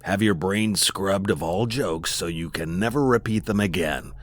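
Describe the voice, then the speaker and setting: A man's voice: low-pitched, normal speed. A man acting in an audio drama as a grave, matter-of-fact member of a secret comedians' club.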